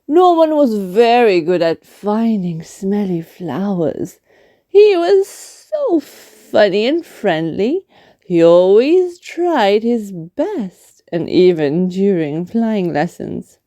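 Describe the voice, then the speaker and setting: Slow, expressive woman storyteller. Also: mid-range voice, no room sound. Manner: deliberate, expressive. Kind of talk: expressive children's picture-book narration.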